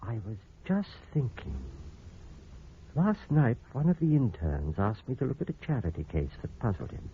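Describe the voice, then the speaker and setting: An actor playing a grave, considerate doctor in an old-time radio drama, speaking in a measured, explanatory way. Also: male voice, mid-range voice, wildly swooping pitch, slightly rough, heavily acted. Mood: hesitant, thoughtful. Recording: muffled, hissy old radio recording.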